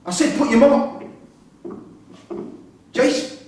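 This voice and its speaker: An actor in a staged reading playing an imprisoned father, pleading and insistent, quick to swear and anger. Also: very high-pitched, a little mellow. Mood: angry. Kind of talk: live staged play reading. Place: theatre-pub performance space, recorded from a distance. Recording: roomy far-off stage recording, faint noise.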